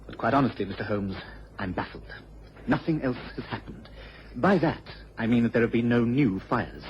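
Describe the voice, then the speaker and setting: An actor playing an earnest, baffled English police investigator in a radio drama, speaking haltingly and apologetically. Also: male voice, medium pitch, expressive, close to an everyday voice. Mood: troubled. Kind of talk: British radio drama acting. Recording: dull old radio recording, clear noise.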